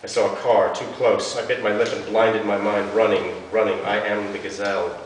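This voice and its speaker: A man's voice: lowish voice, flat delivery, moderate pace. A man giving an intense, theatrical dramatic reading of a literary story as an actor. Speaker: actor reading an essay aloud. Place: arts centre hall before an audience. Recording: echoey hall from far off, hiss.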